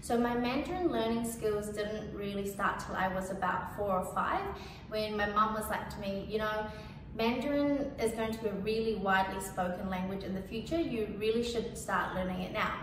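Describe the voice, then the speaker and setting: A young woman from New Zealand with a New Zealand accent, Cantonese her first language, talking casually in a personal testimonial, with lots of "like" and "you know". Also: mid-range voice. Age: young adult. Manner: casual and chatty, with many "like" and "you know" fillers. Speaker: featured speaker. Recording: echoey, distant mic with background noise.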